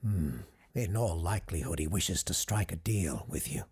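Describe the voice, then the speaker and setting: An actor playing a learned, cautious doctor who hosts a radio show in an audio drama, husky-voiced, hesitant and earnest. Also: male voice, sits low, expressive, normal speed, a little mellow, exaggerated voice. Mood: thoughtful, wary.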